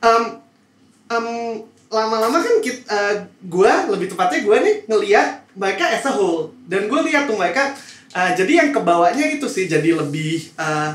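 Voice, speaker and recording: High-pitched Jakarta man in an echoey room. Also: very animated, moderate pace, a bit bright. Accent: Jakarta Indonesian. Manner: hesitant, explanatory, mixes in English phrases. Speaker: podcast panelist. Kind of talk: casual opinionated discussion. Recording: roomy echo.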